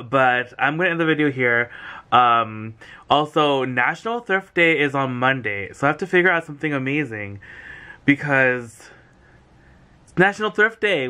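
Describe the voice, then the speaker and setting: A man's voice: medium pitch, bright, forceful tone. A young man vlogging at home, chatting casually to a handheld camera held at arm's length and laughing often. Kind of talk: casual vlog chat. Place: home kitchen and bedroom.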